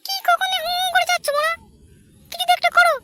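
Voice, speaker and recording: A man voicing a young woman in an amateur comedy cartoon, a pleading, nagging girlfriend with a squeaky, whiny voice. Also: flat delivery, fast, heavy background noise.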